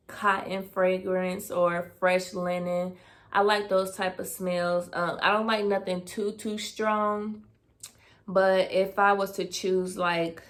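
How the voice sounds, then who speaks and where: A woman, casually answering personal questions on her vlog, thinking aloud with fillers. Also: fairly low pitch. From Dayton, Ohio, US. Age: 20s. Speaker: vlogger. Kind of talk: casual Q&A tag video.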